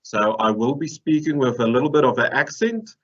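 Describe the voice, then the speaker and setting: A man's voice: mid-range voice, brisk. A man in his thirties from South Africa, speaking English with an Afrikaans accent, giving a conference presentation with dry jokes. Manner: dry joking, lively lecturing. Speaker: process engineer presenter. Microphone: video call webcam. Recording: dull lo-fi video call audio.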